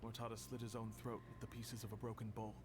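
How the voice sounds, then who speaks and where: A man acts a grave official in a video game, laying out facts in a measured, weary manner. His pitch is average for a man. Clear noise sits behind the voice. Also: monotone, lightly acted. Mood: somber. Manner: measured, serious, explanatory. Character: grave, weary male archive official recounting past events. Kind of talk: video game voice acting, expository dialogue. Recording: noisy background on game stream.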